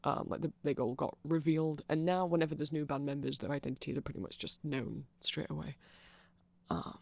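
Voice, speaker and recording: A performer voicing a nerdy vampire in a casual ASMR roleplay, explaining things in a hesitant, digressive, conversational way with a creaky voice. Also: medium pitch, brisk, darkish tone, dry recording, close to an everyday voice. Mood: nerdy, rambling. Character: nerdy rambling vampire, eager fan explaining band lore.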